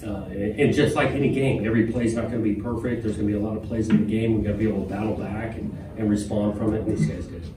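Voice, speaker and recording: Plainspoken coach at a far podium mic. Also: male voice, on the low side, fairly even intonation. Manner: measured, plainspoken, with frequent fillers. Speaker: head football coach. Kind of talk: postgame press conference answers. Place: press conference room with a logo backdrop. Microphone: podium microphone, filmed from a far camera. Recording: roomy, distant, with room noise.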